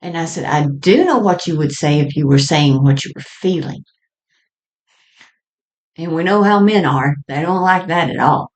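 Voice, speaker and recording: A woman telling personal stories in a halting, conversational way with frequent small chuckles as she reminisces. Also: low-pitched, animated. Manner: halting and conversational, often chuckling mid-sentence. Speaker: storyteller sharing personal experience.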